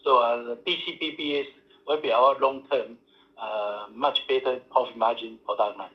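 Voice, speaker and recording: Halting executive on a muffled line. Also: male voice, mid-range voice, steady tone. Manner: halting, many fillers, non-native phrasing. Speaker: company executive answering analyst questions. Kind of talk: earnings call answers. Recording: muffled phone-line audio.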